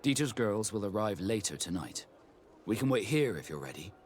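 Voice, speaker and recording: Guarded sea captain in a video game, deep-ish, kinda formal and wistful, some noise behind him. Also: male voice, sing-song, normal speed. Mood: composed, businesslike. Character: guarded male sea captain, proud, quietly wistful about his origins. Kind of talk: scripted video game dialogue.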